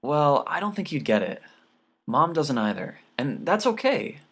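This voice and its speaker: Teenage daughter, voiced by a male narrator: earnest, patient, lifted pitch, storybook reading. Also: sing-song, measured pace, a bit bright, full character voice. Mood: hesitant.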